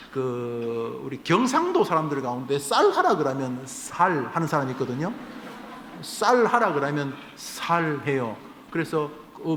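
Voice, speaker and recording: A man's voice: a little high, fairly bright tone, a little hiss or hum. A man, a pastor, preaching a sermon in a humorous, conversational way, acting out bits of dialogue. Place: church sanctuary. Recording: echoey church hall, faint ambience.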